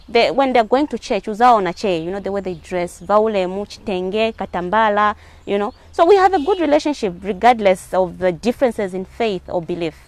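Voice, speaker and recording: A woman's voice: mid-range voice, a little mellow. A woman with a Zambian accent giving earnest answers as a guest in a spoken interview. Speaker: interview guest, hijab advocate.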